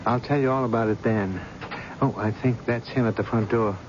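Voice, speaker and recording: An actor playing a responsible, exasperated family friend with an earnest, measured manner in a 1970s radio drama. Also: male voice, mid-range voice, fairly even intonation, natural voice. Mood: confiding. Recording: muffled old radio recording, faint noise.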